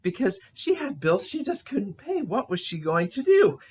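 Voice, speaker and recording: A woman narrating a puppet show story in an animated, children's storytelling style. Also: mid-range voice, normal speed, husky.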